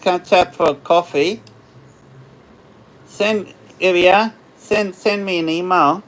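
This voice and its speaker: An Australian man hosting a casual livestream, chatting with viewers in a rambling way, his voice slightly hoarse after hours of talking. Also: sits high, fairly even intonation, slow, fairly bright tone. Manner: rambling, chatty, laughs often. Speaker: livestream host. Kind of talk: casual live chat with viewers.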